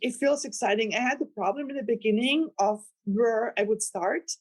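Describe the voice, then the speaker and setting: A woman's voice: a little high, moderate pace. Woman, Austrian accent, German first language, earnest, rambling, casual interview chat. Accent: German-speaking, Austrian. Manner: earnest, rambling, laughs with delight. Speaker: novelist guest.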